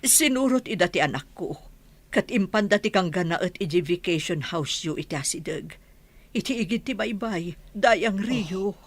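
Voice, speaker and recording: A woman's voice: mid-range voice, animated, normal speed. A woman acting a worried mother in an Ilocano radio drama. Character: worried mother reporting bad news.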